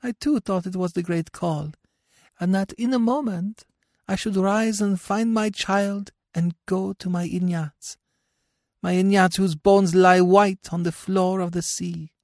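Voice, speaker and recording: A man's voice: sits high, fairly even intonation, measured pace, a little mellow, dry recording, clean studio sound, voice pushed up. A man voicing an anguished dead mother pleading and wailing for her child in a storybook tale. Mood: anguished, doubting. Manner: pleading, wailing, archaic speech. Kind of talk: dramatic storybook reading.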